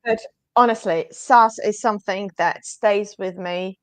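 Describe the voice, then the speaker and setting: A woman guest whose first language is Portuguese speaks earnestly and with emphasis, often agreeing firmly. Her pitch sits in a middle range. The sound is dry, with no room echo, over a video call. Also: steady tone, a little slow. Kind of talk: casual interview answers.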